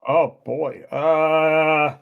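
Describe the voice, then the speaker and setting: A middle-aged man with a high-pitched voice hosts a loose, casual chat. He rambles through his stories, full of fillers and quick laughs. He swears freely. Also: steady tone, creaky, clean tone, phone-quality sound. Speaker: podcast host.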